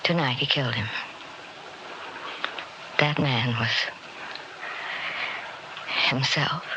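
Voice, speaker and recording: Anguished widow begging in an old radio play, breathy and urgent, lots of hiss and noise. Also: female voice, extremely low pitch, extremely slow, muffled recording, full character voice. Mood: grave. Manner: emotional, pleading, breathy whispered urgency. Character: anguished pleading widow in colonial Africa. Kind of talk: 1960s radio drama acting. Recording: noisy old radio recording.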